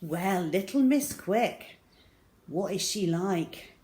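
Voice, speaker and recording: A woman reading a picture book to young children as a storyteller, with dramatic pauses and emphasis, speaking directly to her listeners. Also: on the low side, animated, dark tone.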